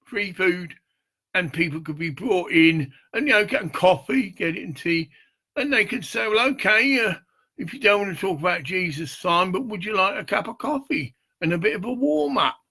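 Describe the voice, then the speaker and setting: An older man with a gravelly, husky voice, rambling and swearing in a loose monologue, often checking "get it?". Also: high-pitched, expressive, moderate pace, bright, forceful tone. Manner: rambling, profane, keeps asking "get it?". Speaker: street preacher.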